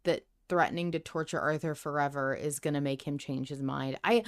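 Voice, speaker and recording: Low, clear-voiced woman thinking aloud. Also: steady tone, fairly quick. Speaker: podcast co-host. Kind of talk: casual discussion and speculation about an audio drama.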